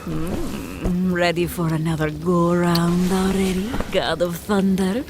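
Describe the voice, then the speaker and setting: A woman's voice: lowish voice, little pitch movement, takes it slowly. A woman playing a fierce, teasing Viking shield maiden in a motion comic, bold in manner. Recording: clear background noise.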